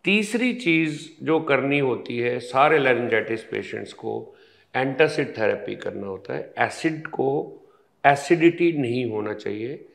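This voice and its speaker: A man, a surgeon, explaining a health topic in an interview, slowly and patiently step by step in the he mixes in. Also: medium pitch, creaky. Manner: slow, measured, explaining step by step with pauses. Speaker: ENT surgeon guest.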